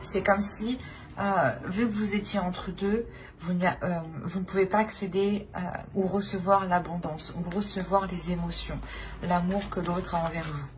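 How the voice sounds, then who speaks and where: Woman, lowish voice, tarot reader, improvised card reading, hesitant repeated phrasing, noticeable background noise. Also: steady tone, moderate pace. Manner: hesitant, repetitive phrasing, talking directly to the listener.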